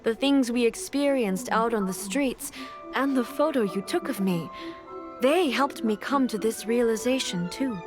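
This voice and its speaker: Woman as a high-voiced, solemn regal goddess. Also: close to an everyday voice. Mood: reflective, solemn. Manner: formal, measured, aloof. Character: solemn, regal goddess ruler, reserved and resolute. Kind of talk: video game character acting. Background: background music.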